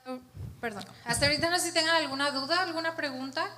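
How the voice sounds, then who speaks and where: A woman's voice: high voice, fast, pushed and bright. A woman presenting a training workshop who checks in with her audience as she explains.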